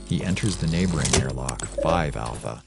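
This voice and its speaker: A man's voice: very deep voice, expressive, moderate pace, creaky, loud music behind the voice. A man giving scripted dramatic narration as the narrator of a sci-fi audio drama.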